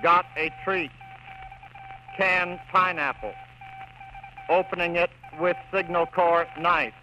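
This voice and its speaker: A man playing a trapped young army radio operator sending a last message in halting, telegraphic phrases, in 1940s radio drama style through a radio filter. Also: sits high, little pitch movement, sharp tone, exaggerated voice. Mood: grim, resigned. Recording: muffled, noisy old radio recording.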